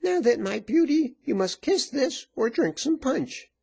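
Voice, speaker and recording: Man putting on a shrill, brazen, bullying woman. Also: lively intonation, measured pace, gravelly, darkish tone, husky, exaggerated voice. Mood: menacing, coaxing. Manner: brash, taunting, exclamatory. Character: loud, bullying virago, brazen rough woman. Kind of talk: dramatized storybook reading.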